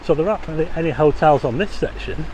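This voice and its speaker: A man's voice: fairly high pitch, quick pace, a little mellow, slight background noise, poor audio. Chatty man narrating a street walk. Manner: chatty, asks viewers questions, wry asides. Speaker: walking tour vlogger. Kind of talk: casual walking commentary. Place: outdoors on a rainy town street.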